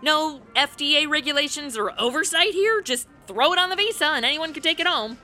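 Woman as a bright, high-voiced brash publicist. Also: expressive, fairly quick, soft background music. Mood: sardonic. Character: brash, self-promoting young publicist, an influencer. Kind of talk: comic radio play acting.

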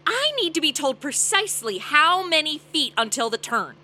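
A woman's voice: high voice, very animated, moderate pace, sharp tone, exaggerated voice. A woman playing a sarcastic, blunt, wisecracking young babysitter in a comedic holiday radio play. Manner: sarcastic, wry.

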